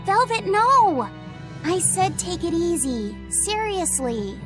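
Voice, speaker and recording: High-voiced, gentle young boy. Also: sing-song, slow pace, music in the background, exaggerated voice. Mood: concerned. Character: gentle, earnest young boy spirit, caring and shy. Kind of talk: English game voice acting.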